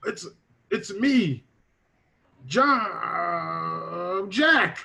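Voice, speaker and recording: Meek injured man, acted by a man: high, creaky, husky, pitiful, radio spoof. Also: lively intonation, drawn-out words, bright, forceful tone, heavily acted. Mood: meek, friendly. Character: pitiful battered man, burnt and weakly suffering. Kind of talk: improvised radio-play parody. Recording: muffled, dull sound.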